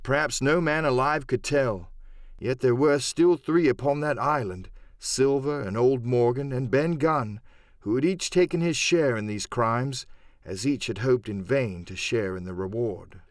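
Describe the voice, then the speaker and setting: A man narrating a classic adventure audiobook in an expressive, dramatic storytelling manner. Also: medium pitch, unhurried, no room sound, studio quality. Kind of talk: dramatic scripted literary narration.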